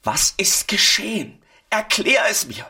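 A distraught, bewildered husband in a radio drama. His husky voice swings widely in pitch as he speaks with agitated emotion. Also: sing-song, unhurried, sharp tone, full character voice. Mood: alarmed. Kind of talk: German radio play acting.

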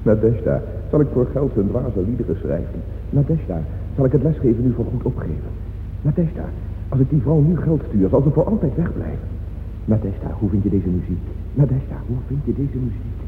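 A man's voice: medium pitch, animated, brisk. An actor playing a troubled, lonely composer, solemn and intense, declaiming to his confidante in a radio drama. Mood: pleading. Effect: cavernous reverb, voice of memory. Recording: muffled, noisy old radio recording, long reverb.

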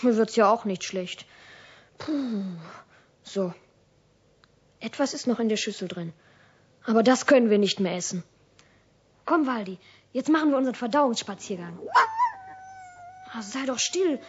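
A woman's voice: a little high, expressive, a little slow, pauses often, breathy edge. A woman playing a cheeky, stubborn young boy who protests insistently in a children's radio play. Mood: scolding. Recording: dull, lo-fi old radio recording.

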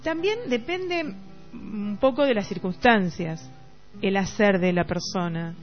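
Female radio host, middle-aged, Rioplatense accent, reflective and halting, with music playing behind a muffled recording. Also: medium pitch. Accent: Rioplatense Argentine Spanish. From Argentina. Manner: halting, searching for words. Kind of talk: casual reflective radio discussion.

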